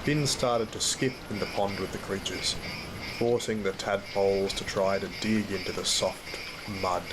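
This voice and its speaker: Man acting as low-voiced weary Australian father, narrating. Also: fairly even intonation, normal speed. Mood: weary, brooding. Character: weary, troubled working father narrating his grim job. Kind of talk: dramatic first-person narration in an audio drama. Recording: very noisy track, rain and storm ambience.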